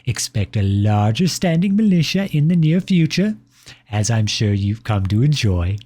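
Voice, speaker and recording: A man's voice: mid-range voice, big pitch swings, normal speed, mellow. A man voicing a pompous, possessive empire personified as a cloyingly affectionate suitor in a scripted ASMR roleplay, reading out letters with sugary condescension. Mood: smug, fond.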